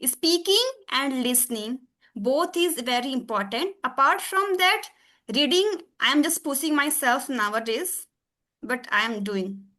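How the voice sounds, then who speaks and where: A woman hosting a conversational podcast, a teacher talking in chatty, halting English with frequent fillers and laughs. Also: high-pitched, expressive, sharp tone.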